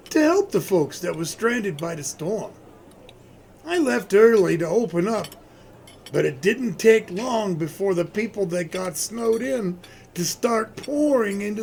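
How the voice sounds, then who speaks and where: A man playing a cheerful folksy cafe owner who tells his story in a radio play, with a creaky voice. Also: high-pitched, a little mellow, a little hiss or hum, full character voice.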